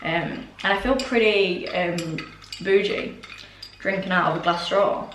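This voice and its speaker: A young woman with a voice going hoarse, chatting casually through a home haul with lots of ums and asides. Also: fairly low pitch, a little slow, a bit bright, reverberant, distant mic, a little hiss or hum, rough recording. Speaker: vlogger. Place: orangery at home, indoors.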